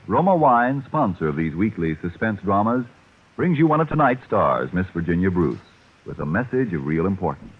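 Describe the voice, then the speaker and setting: A man's voice: fairly low pitch, husky. A man giving formal, emphatic sponsor announcements in 1940s radio style. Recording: dull vintage radio broadcast.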